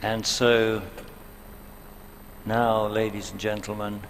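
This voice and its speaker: A man's voice: on the low side, little pitch movement, takes it slowly, a little hiss or hum. A man hosting a live talk show on stage in a big hall, welcoming guests and inviting them up. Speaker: host and moderator. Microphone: handheld microphone.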